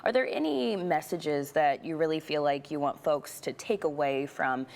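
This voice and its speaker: A woman's voice: sits low, normal speed, a little mellow. A woman hosting a lifestyle show interview in an upbeat, effusive and affirming broadcast manner.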